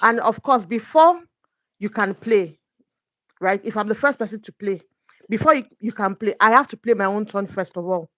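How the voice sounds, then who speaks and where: A woman's voice: mid-range voice, moderate pace, fairly bright tone, no room sound, highs cut off. A woman speaking as a guest coach in a conversational faith talk, explaining her points earnestly through examples.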